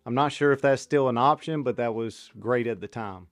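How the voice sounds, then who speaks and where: A man in his thirties hosting a home fitness channel, reading a product review in a steady, matter-of-fact way. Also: medium pitch, moderate pace, no echo, faint music behind. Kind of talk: scripted product review voice-over.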